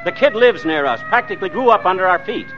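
An actor playing an anxious married hostage who narrates an old-time radio thriller in a wry, terse, hard-boiled way, with an American accent. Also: male voice, high-pitched, expressive, fast, over music. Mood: nervous urging. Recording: muffled vintage radio recording.

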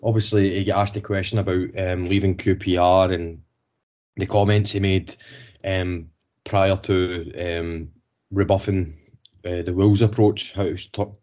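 A man with a very low, mellow voice hosts a casual podcast chat. He asks long, winding questions, stumbling over restarts and fillers. The sound is a bit muffled and lo-fi. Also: level tone, unhurried. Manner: rambling, hesitant questions full of restarts. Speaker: podcast host.

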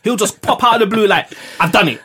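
Male podcast co-host, slightly higher voice, playful teasing delivery. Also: quick pace, pushed and bright, breathy edge. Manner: jokey, teasing, laughs often. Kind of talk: casual chat.

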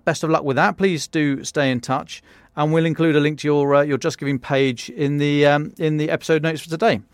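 A man's voice: fairly high pitch, no echo. A British man hosting a podcast, addressing listeners and guests. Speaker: podcast host.

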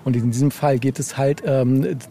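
A man's voice: medium pitch, fairly even intonation, normal speed, dark tone, soft background music. A man speaking as a novelist in a casual podcast interview, explaining in a rambling way with frequent tag questions. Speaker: novel author being interviewed.

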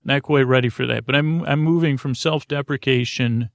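A man hosting a podcast in a rambling bedtime monologue, halting and often interrupting himself as he meanders. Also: mid-range voice, gravelly, no echo, studio quality. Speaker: podcast host.

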